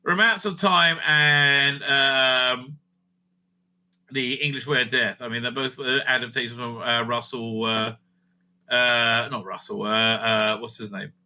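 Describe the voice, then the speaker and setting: A man's voice: medium pitch, fairly even intonation, a little slow, pushed and bright, poor audio. A man giving casual, off-the-cuff, opinionated reviews in a rambling way, often tagging his phrases with a questioning "right?". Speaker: host of a livestream review show. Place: indoors at home.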